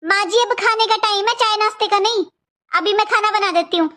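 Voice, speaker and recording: A woman's voice: very high-pitched, fairly quick, pushed and bright. A woman voicing a resentful, curt young Indian daughter-in-law in a cartoon family skit, grumbling and argumentative. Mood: curt, annoyed.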